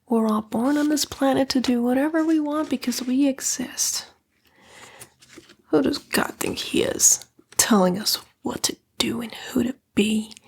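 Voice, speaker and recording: A sly female demon , played by a woman, murmurs in an ASMR roleplay. The voice sits high yet is very breathy and mostly whispered, with crackles of fry. The delivery is coaxing, sassy and dismissive, like a fallen angel tempting someone into trouble. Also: exaggerated voice. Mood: scornful. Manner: coaxing, flippant, disdainful, drawling slang.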